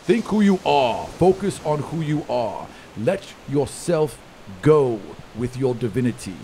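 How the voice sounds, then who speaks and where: A man's voice: mid-range voice, big pitch swings, unhurried, dark tone, slight background noise, voice pushed up, poor audio. A man voicing a stern, formal archon who instructs demigods in a tabletop game. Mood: solemn coaching. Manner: commanding, formal.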